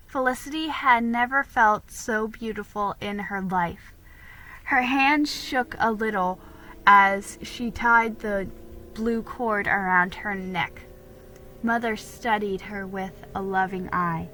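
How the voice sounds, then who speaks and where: Bright-voiced woman reading a story slowly. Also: medium pitch, rough recording. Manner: stumbles and repeats words. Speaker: amateur story reader. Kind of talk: dramatic storybook narration.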